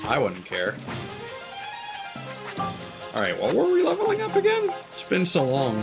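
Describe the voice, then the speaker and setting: A man chats casually as he streams a video game, tossing in playful jokes. His pitch swings in a lively way, and game music plays clearly behind him. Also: a little slow. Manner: joking, playful asides. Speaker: live streamer.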